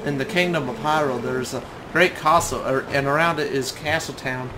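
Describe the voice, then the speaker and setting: A man voicing a calm grown village man, reading haltingly with a put-on southern-style drawl over game music. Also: mid-range voice, close to an everyday voice, poor audio. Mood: gentle, wistful.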